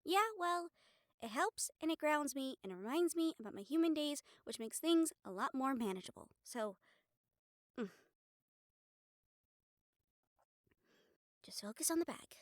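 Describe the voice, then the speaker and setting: A woman playing a troubled, emotive newborn vampire and former hunter in an audio roleplay, speaking with sighs and pauses. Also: high-pitched, animated, no echo, clean studio sound. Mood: tense, calming herself. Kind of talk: dramatic audio roleplay acting.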